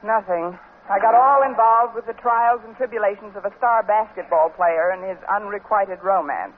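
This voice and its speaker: An actress playing a wisecracking schoolteacher with a dry, sardonic delivery in a 1950s radio sitcom. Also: female voice, medium pitch, normal speed, lightly acted. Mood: dry, wry. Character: wry, wisecracking single woman schoolteacher. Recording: tinny, noisy vintage radio recording.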